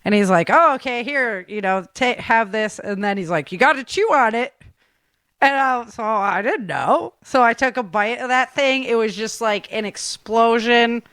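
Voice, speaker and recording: An American woman from the Great Lakes region hosting a casual chat in an animated, expressive way with slangy exclamations. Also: a little high, moderate pace, smooth, dry recording, studio quality. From Great Lakes region, United States. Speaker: host.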